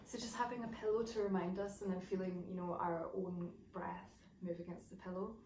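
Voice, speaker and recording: A woman teaching a gentle voice and breathing lesson, talking softly and reflectively with many fillers, her voice low with morning. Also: flat delivery, fairly quick, background noise. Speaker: singing and voice teacher. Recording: echoey room, mic at a distance.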